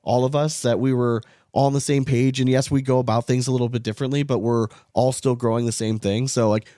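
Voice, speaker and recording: Fast-talking man in casual podcast chat, dry sound. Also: medium pitch, flat delivery, mellow, no room sound. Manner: informal, swearing, rambling with frequent "like". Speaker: podcast co-host.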